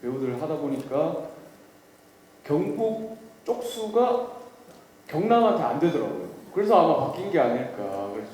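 A man's voice: a little high, sing-song, a little slow, long pauses, darkish tone. Easygoing man in an echoey hall. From Daegu, South Korea. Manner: easygoing, self-deprecating, hedging. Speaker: lead actor at a press event. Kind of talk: answering press questions. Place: large event hall. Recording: echoey event hall, some noise.

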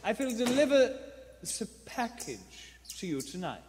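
A man's voice: very high-pitched, sing-song, measured pace, darkish tone, a little hiss or hum, heavily acted. A man playing a kindly, sly old shopkeeper with courteous, wordy speech in a live radio play. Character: kindly old bird-shop keeper, secretly a bomb maker. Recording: echoey theater hall.

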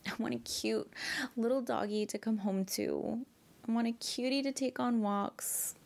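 A woman's voice: on the high side, fairly even intonation, unhurried, vocal fry, a little mellow. A young woman playing a bratty, pouting girlfriend in an ASMR audio roleplay, pleading and often dropping to whispers. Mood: pleading, pouty. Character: bratty pouting girlfriend begging her partner.

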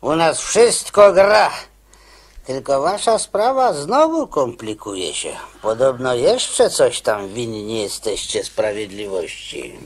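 An actor in a radio play playing a weary, matter-of-fact prison boss, patient and familiar while being official. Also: male voice, mid-range voice, very animated, takes it slowly, breathy edge, full character voice. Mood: patient, reproving.

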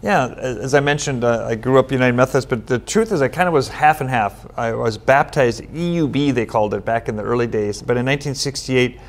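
A middle-aged man from Minnesota, a United Methodist pastor, giving steady, earnest answers in a studio interview, with frequent "um" fillers. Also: medium pitch, brisk, hardly any pauses. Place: studio set with bookshelf backdrop, seated at table.

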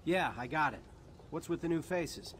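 An actor playing an earnest, plain-spoken human soldier hero in a video game, talking casually and matter-of-factly. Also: male voice, on the high side, normal speed, fairly bright tone, a little hiss or hum, lightly acted. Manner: casual, colloquial, matter-of-fact. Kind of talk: video game character acting.

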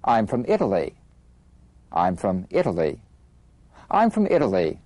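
A man modeling lines of a practice dialogue in an English lesson, repeating each one slowly and with clear stress. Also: on the low side, huge pitch swings, a little mellow, no echo.

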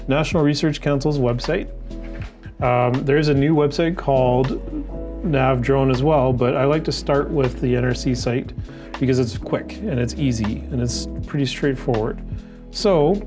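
A man's voice: mid-range voice, darkish tone. A middle-aged man giving a conversational tutorial as an instructor, often asking questions and answering them himself. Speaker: drone training instructor. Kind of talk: explanatory tutorial, walking through regulations on screen. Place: indoors in an office by a window. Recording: music playing behind the voice.

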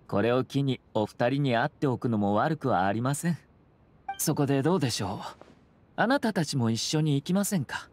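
A man plays a suave young lord in a video game. His is formal and courteous, at a middling male pitch, with a faintly wry composure. Music plays faintly behind him. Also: darkish tone, close to an everyday voice. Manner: polite, measured, formal.

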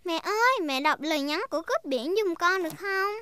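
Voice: very high-pitched, expressive, a little fast, pushed and bright, heavily acted. A performer voicing a cheerful, bossy little girl piglet in a children's cartoon dub. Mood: excited.

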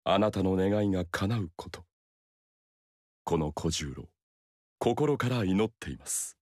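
A loyal male retainer, formal and courteous, with a somewhat low voice. The recording is dry and close. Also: lively intonation, takes it slowly, a little mellow, dry recording, heavily acted. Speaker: game character. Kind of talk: game dialogue.

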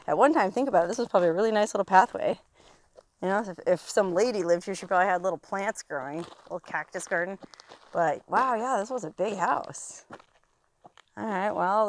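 Excitable woman exploring outdoors. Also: mid-range voice. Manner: excitable, gasping, easily amazed. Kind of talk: casual handheld exploring narration. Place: outdoors in desert and inside an old mine tunnel. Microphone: handheld camera.

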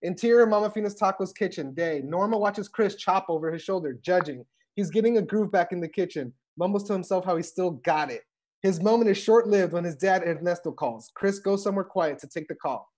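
A man with a high voice reads out stage directions from a script. He narrates the action scene by scene for a table read. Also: fairly quick, darkish tone.

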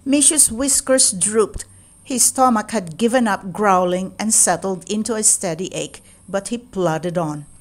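A woman reads a children's story aloud at a slow, careful pace. Her narration is expressive and deliberate, with dramatic weight on key words. She speaks in a mid-range register. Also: medium pitch.

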